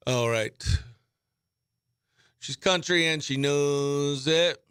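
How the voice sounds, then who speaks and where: A man with a notably high, bright voice hosts a livestreamed radio show. He talks in a breezy, exclamatory way, moving between casual chatter and excitable shout-outs to his audience. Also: very slow, clear, resonant tone. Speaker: radio host.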